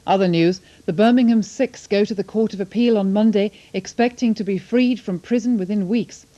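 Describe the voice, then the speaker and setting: A woman reads prepared news copy. Her voice is mellow and medium in pitch, with a soft, slightly dull broadcast sound. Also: normal speed. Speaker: news reader. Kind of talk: scripted news reading. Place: television news studio.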